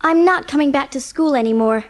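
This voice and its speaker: A high, youthful voice for a proud young girl acted by a woman. She is outspoken and indignant, with a fiery temper and emphatic delivery suited to a storybook drama. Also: a little fast, dry recording, natural voice. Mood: stubborn. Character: fiery, outspoken, proud eleven-year-old farm orphan girl. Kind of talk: dramatised audiobook acting.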